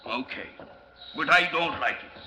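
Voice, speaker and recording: A man's voice: on the high side, animated, a little slow, bright, forceful tone, slight background noise, heavily acted, poor audio. An actor playing a jittery, grumbling, talkative policeman in an old-time radio drama, with colloquial, blustery exclamations. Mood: nervous, grumbling. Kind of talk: 1940s radio acting. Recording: vintage radio drama recording.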